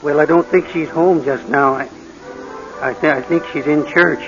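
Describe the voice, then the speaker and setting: An actor playing a nervous, well-meaning neighbour with a halting, flustered manner in a 1940s radio drama. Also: male voice, a little high, fairly even intonation, faint music behind, close to an everyday voice. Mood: nervous, earnest. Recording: dull, lo-fi 1940s radio recording.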